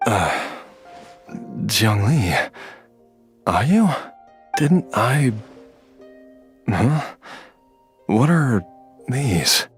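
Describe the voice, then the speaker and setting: An actor playing a gentle, weary dying husband and father in a video game, speaking in a halting, tender way with sighs. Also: medium pitch, big pitch swings, slightly rough, faint music behind, heavily acted. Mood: faint, halting.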